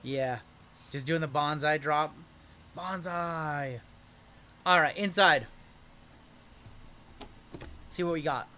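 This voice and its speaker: Hyped high bright-voiced man hosting. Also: slow pace, pauses often, slight background noise. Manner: hyped, joking, calls out cards as they are pulled. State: voice going hoarse from shouting. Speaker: card break host. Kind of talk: livestream card break commentary.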